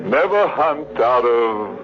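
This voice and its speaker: Macabre host: teasing, mock-sinister, very drawn-out, vintage lo-fi radio sound over music. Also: male voice, mid-range voice, big pitch swings. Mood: gleefully macabre. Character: gleefully macabre male horror host, punning and teasing. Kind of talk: 1940s radio horror hosting. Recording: muffled vintage radio recording, music behind.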